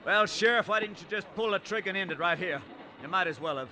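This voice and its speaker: Shrill, desperate outlaw prisoner. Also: male voice, fast, pushed and bright, a little hiss or hum. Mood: bitter, scornful. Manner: scornful, agitated. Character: desperate, bitter accused murderer in leg irons. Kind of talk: 1950s radio western acting.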